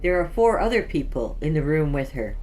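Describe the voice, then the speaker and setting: A woman's voice: low voice, big pitch swings, fairly quick, a little mellow, rough recording. A woman hosting a fiction podcast and reading scripted stories as narrator, with music behind her. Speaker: podcast host and story narrator.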